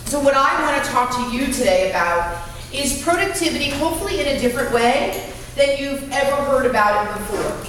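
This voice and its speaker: High-voiced woman speaker in an echoey room. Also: brisk, far from the mic, a little hiss or hum. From California. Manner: energetic, self-deprecating, speaks about having a loud voice. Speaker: guest speaker. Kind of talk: motivational talk to a live audience. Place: meeting room with small audience.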